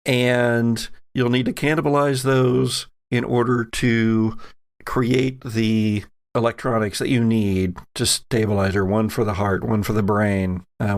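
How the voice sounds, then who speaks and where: A man narrating a tabletop roleplaying game as game master, deliberate and given to dramatic pauses. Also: medium pitch, vocal fry.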